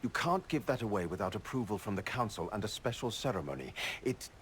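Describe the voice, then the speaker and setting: An actor playing a fussy, officious bureaucrat in a video game, a stickler for rules with an exasperated manner. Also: male voice, on the low side, sing-song, quick pace, slight background noise. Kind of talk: video game dialogue acting.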